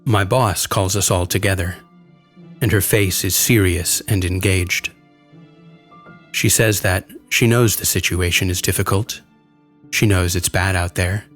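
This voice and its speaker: Low-voiced man narrating over music. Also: steady tone, measured pace, a bit bright. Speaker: narrator of a first-person horror story. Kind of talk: dramatic scripted first-person narration.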